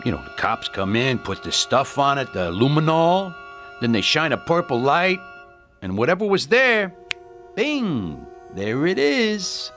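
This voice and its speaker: An actor playing a cocky, menacing avenger in a crime radio drama, with slangy, taunting, sardonic delivery. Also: male voice, on the high side, big pitch swings, measured pace, fairly bright tone, soft background music, exaggerated voice. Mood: taunting, accusing. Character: cocky, menacing male avenger posing as gangster's messenger. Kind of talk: hard-boiled crime radio drama acting.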